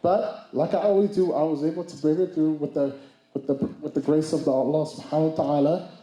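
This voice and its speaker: A man in his twenties giving a speech at a community summit, halting with restarts and mixing in Somali phrases. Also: fairly high pitch, fairly even intonation, mellow, echoey room, rough recording. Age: 20s. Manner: hesitant, many restarts and fillers; mixes Somali phrases into English. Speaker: speaker. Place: event hall.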